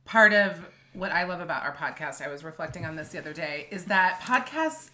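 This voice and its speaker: A woman co-hosting a podcast in casual chat, playful and quick to laugh. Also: lowish voice, expressive, sharp tone. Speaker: podcast co-host.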